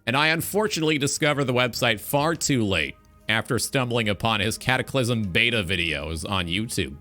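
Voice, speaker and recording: Man, talk show host, very expressive pitch, bright tone, casual, earnest, reflective, soft background music. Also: moderate pace.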